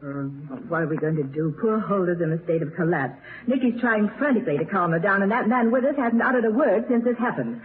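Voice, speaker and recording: A woman's voice: medium pitch, lively intonation, fairly quick, hardly any pauses, close to an everyday voice. An actress playing an inquisitive, educated woman doctor in a 1930s radio mystery, earnest and articulate. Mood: shaken. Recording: narrow, noisy 1930s radio broadcast.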